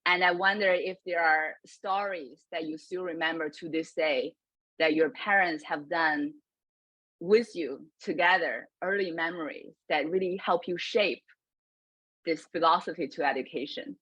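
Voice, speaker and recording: A woman's voice: sits low, a little slow, fairly bright tone, no room sound. A woman podcast host asking thoughtful, conversational interview questions. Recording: dry, dull lo-fi audio.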